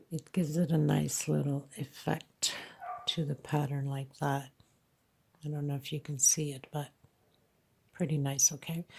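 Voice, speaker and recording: A woman's voice: low voice, creaky, darkish tone, breathy edge. A congested woman teaching a crochet tutorial, counting stitches aloud and checking in with frequent okays. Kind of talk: hands-on tutorial narration.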